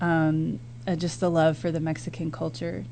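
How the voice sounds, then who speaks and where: Low-pitched American woman, hum behind her. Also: flat delivery, moderate pace, gravelly, dark tone. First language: English. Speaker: guest, missionary and former teacher. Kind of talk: casual radio interview. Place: radio interview. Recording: background noise and hum.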